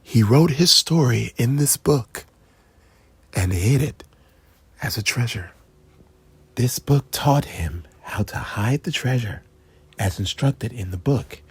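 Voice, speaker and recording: A storyteller voicing a calm father who explains the family history to his son. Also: mid-range voice, slightly rough, dry recording, exaggerated voice. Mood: soft. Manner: soft, measured storytelling. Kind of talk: children's storybook read-aloud.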